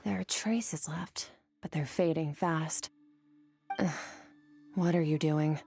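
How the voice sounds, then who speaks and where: Low-voiced, aloof warrior woman. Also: fairly even intonation, a little mellow. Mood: solemn, then disdainful. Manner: detached, haughty, measured, matter-of-fact. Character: aloof, powerful, mysterious young woman warrior, cold, superior. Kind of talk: anime game voice acting. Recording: music behind the voice.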